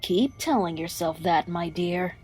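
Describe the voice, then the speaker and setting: A woman's voice: fairly low pitch, animated, a little slow, darkish tone, soft background music. A woman voicing a playfully cruel magical fox trickster in an audio drama, with a taunting, theatrical drawl. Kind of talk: fan audio drama acting.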